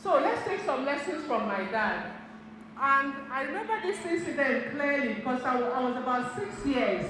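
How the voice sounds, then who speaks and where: High-voiced woman in an echoey hall. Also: normal speed, background noise. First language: Igbo. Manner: storytelling, animated, laughs often, addresses audience directly. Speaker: seminar speaker. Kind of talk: motivational talk to an audience. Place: community center hall.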